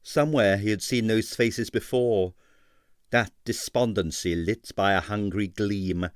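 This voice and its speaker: A man's voice: on the low side, measured pace, clear, resonant tone, dry recording, clean studio sound. A man narrating a comic novel as a scripted, dramatic audiobook reading.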